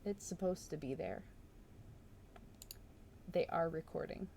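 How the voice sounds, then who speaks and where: A woman's voice: deep voice, slow, vocal fry, a little mellow. A woman reading a story aloud on a live stream and commenting on it casually. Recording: noticeable background noise.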